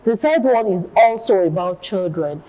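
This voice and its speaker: A woman's voice: mid-range voice, animated, moderate pace, dark tone, muffled recording. A woman hosting a TV panel discussion, introducing topics and putting questions to her guests. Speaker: talk show host.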